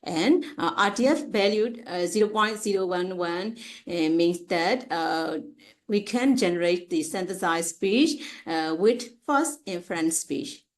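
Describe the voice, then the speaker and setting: Halting low-pitched woman. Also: lively intonation. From Myanmar. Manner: halting, hesitant, with frequent pauses and repeated phrases. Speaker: researcher presenting at a conference. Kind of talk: academic slide presentation.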